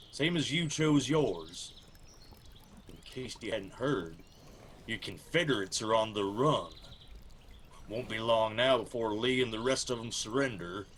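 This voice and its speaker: A weary but defiant Civil War soldier from the hills in a radio drama. He speaks slowly and firmly in an Appalachian accent, with faint ambient noise behind him. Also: mid-range voice, fairly bright tone. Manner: firm, defiant, unhurried.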